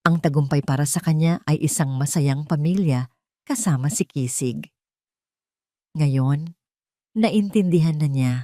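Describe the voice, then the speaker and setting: A woman reading a romantic drama as a storyteller, in a measured and heartfelt way, close to the mic. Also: low voice, mellow, dry recording. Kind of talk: scripted drama narration.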